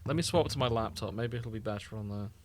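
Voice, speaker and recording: A man's voice: fairly low pitch, quick pace, a little hiss or hum. A man co-hosting a podcast in casual comedic chat, full of opinionated, mock-indignant banter. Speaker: podcast co-host.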